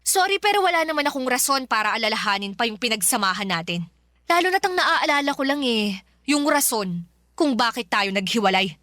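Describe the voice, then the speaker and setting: Radio drama ex-girlfriend: young, cold, fed up, high and forceful, sarcastic. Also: big pitch swings, fairly quick, no room sound, clean studio sound. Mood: cold, annoyed. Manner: scolding, blunt, sarcastic. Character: Yeri, cold, exasperated young woman confronting her ex. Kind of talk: Filipino radio drama acting.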